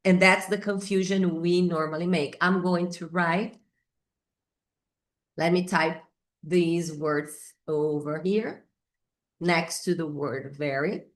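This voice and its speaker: A middle-aged Brazilian woman, a native Portuguese speaker, teaches English grammar informally with a Brazilian accent, thinking aloud haltingly and repeating key words. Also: on the low side, steady tone. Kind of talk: informal whiteboard grammar lesson.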